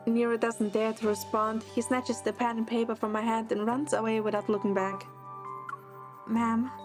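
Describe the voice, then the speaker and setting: Woman as high-voiced young hunter recruit. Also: little pitch movement, moderate pace, over music, lightly acted. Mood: attentive. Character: curious, earnest young female hunter recruit. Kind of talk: first-person game story narration.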